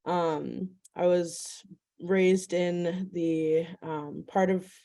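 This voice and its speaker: Young woman from Minneapolis, lowish mellow voice, casual with ums, video call. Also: steady tone, extremely slow. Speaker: youth program coordinator. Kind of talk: casual panel remarks.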